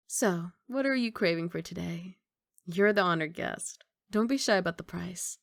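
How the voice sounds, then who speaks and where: A woman's voice: on the low side, no echo, clean studio sound, lightly acted. A woman playing a confident, doting young mafia boss girlfriend in an intimate, teasing ASMR romantic roleplay. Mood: generous, confident. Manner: playful teasing, intimate one-sided conversation.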